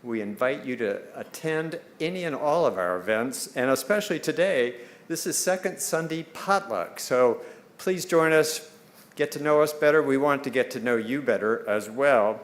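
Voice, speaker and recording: A man's voice: medium pitch, animated, normal speed. Man giving church welcome announcements. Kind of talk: spoken congregational welcome and announcements. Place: church service before a congregation.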